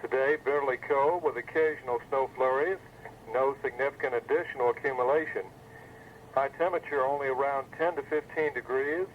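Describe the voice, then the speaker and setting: A man's voice: medium pitch, fairly even intonation, a little fast. A male announcer reading a scripted weather bulletin over a 1980s weather radio broadcast. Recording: muffled phone-like 1980s weather radio, faint noise.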